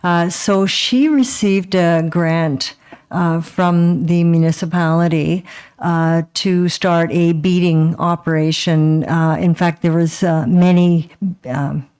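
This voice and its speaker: A woman councillor speaks slowly and haltingly, with many pauses and fillers. Her voice is low and smooth, and her pitch stays flat as she reports to the meeting. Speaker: town councillor.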